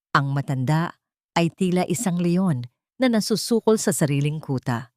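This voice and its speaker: A woman narrating a scripted dramatic story in a measured, solemn, literary manner. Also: on the low side, darkish tone, dry recording, clean studio sound.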